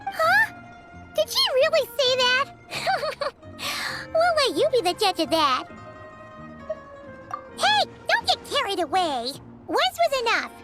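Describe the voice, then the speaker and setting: A woman voicing a tiny, excitable, cheeky fairy girl with a squeaky childlike voice in a video game. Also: takes it slowly, pauses often, heavily acted. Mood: flustered, huffy. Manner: refers to self in third person, exclamatory. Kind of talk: animated video game voice acting. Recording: loud music behind the voice.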